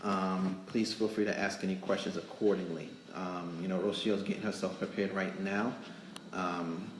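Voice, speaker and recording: A man with a fairly low voice hosts an improvised live show from a roomy, echoing indoor space. He talks casually and haltingly, rambling along to fill time. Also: level tone, noisy background. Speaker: magazine editor hosting a live interview show. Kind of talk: casual improvised livestream hosting. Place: indoors in a cake studio.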